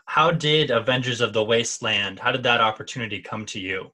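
Bright male co-host, rambling questions. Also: medium pitch, a little fast. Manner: conversational, rambling questions with restarts. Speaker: podcast co-host. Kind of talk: casual interview questions.

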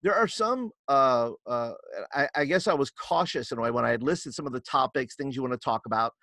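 A man's voice: medium pitch, brisk, no room sound, clean studio sound. A man hosting an interview podcast, asking questions with many false starts and repeated words. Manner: stumbling restarts, warm questioning. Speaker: podcast host. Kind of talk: casual interview chat.

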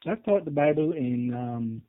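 A man's voice: fairly high pitch, lively intonation, moderate pace, slight background noise. Jamaican man on a phone line. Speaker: guest theology professor. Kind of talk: earnest explanatory interview answers. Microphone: telephone.

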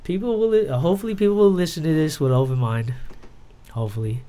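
Casual male podcast host, slightly higher voice. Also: fast talker, mellow. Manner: informal, frequent "dude" and "you know what I mean". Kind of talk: casual podcast chat, swearing.

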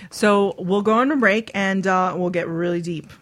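A woman's voice: mid-range voice, normal speed, a bit bright. A woman hosting a bawdy, casual radio talk show in a brash, crude, teasing way.

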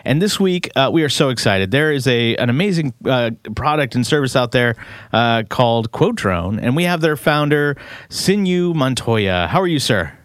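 A man's voice: medium pitch, expressive, normal speed, smooth, no room sound. Dry-sounding upbeat male podcast host. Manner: upbeat, frequent fillers and restarts. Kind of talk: casual interview chat.

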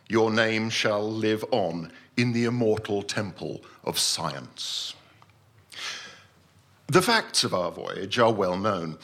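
A male actor performs a self-important gentleman scientist giving his formal report of a sea voyage. His voice is husky, with a very animated rise and fall. He is grandiose and deliberate, in the manner of a theatrical short story read on stage. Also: fairly low pitch, exaggerated voice. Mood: solemn, grandiose. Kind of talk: dramatic first-person short story reading at a live night.

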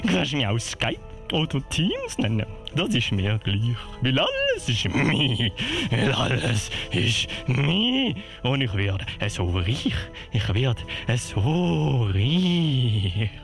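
A man's voice: mid-range voice, huge pitch swings, slow, vocal fry, faint music behind, heavily acted. A man playing a greedy, pompous, megalomaniac villain doctor in a theatrical children's audio play. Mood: grandiose.

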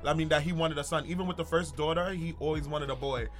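A man's voice: fairly high pitch, fairly even intonation, quick pace, faint music behind. A man in his twenties chatting casually as co-host, giving opinions in a rambling way with lots of fillers. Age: 20s. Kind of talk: casual chat.

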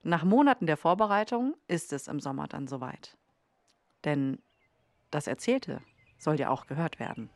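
Low-voiced woman narrating a documentary. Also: expressive, normal speed, darkish tone, no echo. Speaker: podcast narrator.

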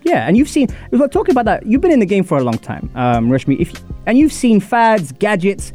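A man hosting a radio talk show, upbeat and animated, interviewing a studio guest. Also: brisk, faint music behind. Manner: upbeat, animated presenter with lively pitch.

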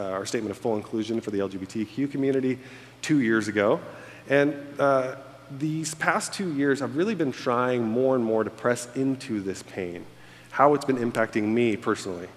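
A man in his thirties, born in Egypt and raised in America, giving a candid, self-deprecating farewell talk to a congregation. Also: mid-range voice. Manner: candid, self-deprecating storytelling with frequent fillers. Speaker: church staff member giving a farewell speech. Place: church auditorium on stage. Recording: long reverb of a large hall. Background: audience laughter.

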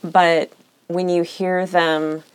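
A woman lawyer hosting a solo podcast, speaking in a reflective, measured, candid way with occasional vocal fry and uptalk. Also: lowish voice, level tone, dry recording, rough recording.

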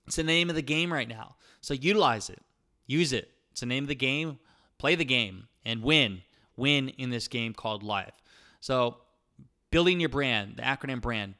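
Young iowa guy hyping up his podcast crowd, bright crisp voice, punchy and repetitive. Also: mid-range voice. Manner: energetic, emphatic, punchy repetition. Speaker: podcast host. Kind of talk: motivational solo podcast talk.

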